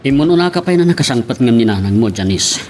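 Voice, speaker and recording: A man's voice: mid-range voice, lively intonation, fast, over loud music, natural voice. A man playing a stern, suspicious middle-aged father in an Ilocano audio drama, with an Ilocano accent. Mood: questioning.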